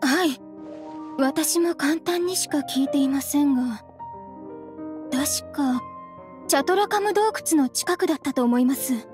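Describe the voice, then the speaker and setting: A helpful townswoman talks in a high, unhurried voice. She is polite and matter-of-fact, in anime game style with faint music behind.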